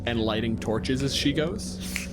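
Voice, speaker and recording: A man's voice: mid-range voice, a little slow. A man game master improvising tabletop narration in a casual, sweary way. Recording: music behind the voice. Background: music.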